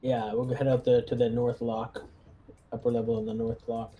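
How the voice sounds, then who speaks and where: A man chatting casually as a tabletop game player, relaxed and a little halting as he plans his moves. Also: medium pitch, dull audio, noisy background.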